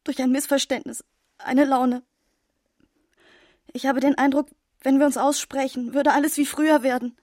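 An actress with speech playing a proud, wounded young gentlewoman, formal and earnest as she pleads in a radio play. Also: sits high, level tone, normal speed, long pauses, dry recording, studio quality, lightly acted. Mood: desperate pleading. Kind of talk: literary radio play acting.

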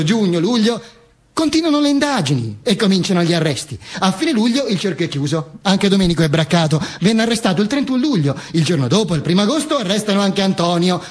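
A male stage actor playing a dead dictator who tells his own posthumous story in an ironic, emphatic theatrical monologue. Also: high-pitched. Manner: emphatic, obsessively repetitive, deliberate pauses.

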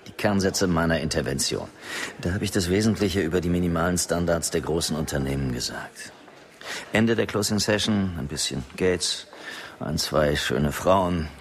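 An actor playing a vain politician in a radio play, commanding and self-satisfied. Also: male voice, rumbling low. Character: vain politician dictating media demands.

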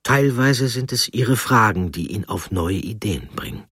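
A man reading a scripted documentary narration with deliberate, emphatic phrasing. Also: on the low side, expressive, a bit bright, breathy edge, dry recording, clean studio sound.

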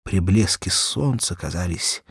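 A man narrates a children's story in a low, widely swinging voice. His tone is husky and gravelly, and his phrasing is measured and dramatic. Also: animated, no room sound, studio quality. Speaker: audiobook narrator. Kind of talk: expressive scripted storytelling for children.

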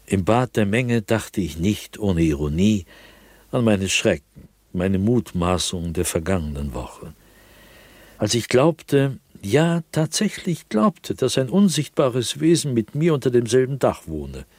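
An actor playing a proud, agitated intellectual gentleman in a radio drama, reading his diary as a brooding, intense, theatrical monologue. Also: fairly low pitch, dry recording. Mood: relieved, reflective. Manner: brooding, intense, theatrical, with sudden swings in emphasis. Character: haunted, agitated gentleman diarist, proud intellectual sliding into fear.

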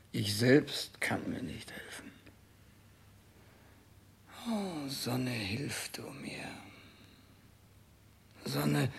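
A dying, self-obsessed king in a 1960s radio drama. His voice is gravelly and fairly high, and he declaims theatrically, swinging between bombast and pleading in his terror of death. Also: exaggerated voice. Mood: weak, despairing. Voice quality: husky, gravelly.

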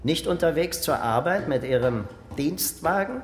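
Dry police investigator played by an actor, echoey. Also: male voice, animated, moderate pace, lightly acted. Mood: probing. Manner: dry, pointed questioning. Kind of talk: radio crime drama acting. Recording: echoey hall, faint rumbling noise.

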